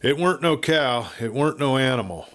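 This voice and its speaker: A man's voice: mid-range voice, lively intonation, fairly quick, fairly bright tone, lightly acted. A man voicing a sly, bony cowboy in a Western story, speaking in guarded short phrases. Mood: tense, evasive. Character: thin, sly, bony cowboy bringing bad news.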